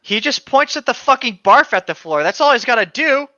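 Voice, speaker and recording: A man's voice: very high voice, expressive, fairly quick, sharp tone, a little hiss or hum. A man co-hosting a podcast with casual, joking asides, slangy and sweary, on a dull lo-fi voice track. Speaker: podcast co-host.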